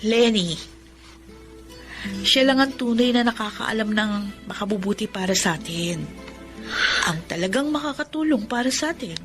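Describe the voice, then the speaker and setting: Devout best friend, young woman, highish pitch, frank and caring, Filipino accent, radio drama over soft music. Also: animated, normal speed, long pauses. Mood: admonishing.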